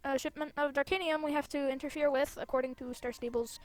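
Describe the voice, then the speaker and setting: A woman with a high voice hosts a gameplay video. She chats casually and playfully, breaking into exclamations as she plays. The sound is dry, with little room in it. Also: fairly even intonation, fast talker, dry recording. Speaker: gaming video host. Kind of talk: casual gameplay commentary with read-out game dialogue.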